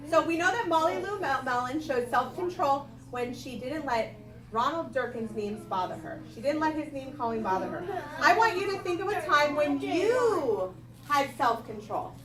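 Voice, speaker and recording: A woman's voice: high voice, lively intonation, moderate pace, a crowd in the background. A woman teaching young children in a classroom, directing them and prompting them with questions. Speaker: primary school teacher. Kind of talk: interactive classroom read-aloud discussion. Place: indoor school classroom. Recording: echoey distant classroom recording, clearly noisy.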